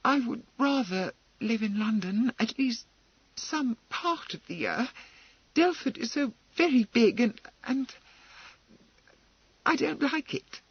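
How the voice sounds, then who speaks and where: A man's voice: extremely high pitch, lively intonation, takes it slowly, pauses often, husky, no room sound, lo-fi sound, voice pushed up, exaggerated voice. A man voicing a timid, frightened young woman who stammers and pleads, in a storybook reading. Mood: pleading. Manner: stammering, breathless protests.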